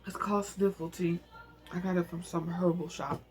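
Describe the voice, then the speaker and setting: A man reading a novel aloud in an informal, emotional, soft storytelling manner, now and then speaking in asides. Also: sits high, flat delivery, moderate pace, a little hiss or hum, poor audio. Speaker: amateur book reader and channel host.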